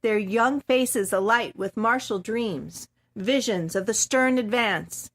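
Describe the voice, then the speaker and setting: A woman giving a dramatic reading of a classic story in a measured, expressive storytelling manner. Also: fairly high pitch. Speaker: guest reader.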